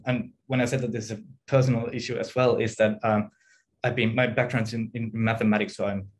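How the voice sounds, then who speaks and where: A man, thinking aloud and often rephrasing as he puts a question in an academic seminar. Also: medium pitch. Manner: reflective, hesitant, rephrasing often. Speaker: academic, audience member asking a question. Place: indoor room on a video call. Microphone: computer or headset mic on video call.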